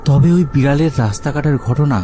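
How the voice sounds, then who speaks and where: A man narrating a cartoon ghost story in a calm, measured storytelling voice. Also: fairly high pitch, soft background music.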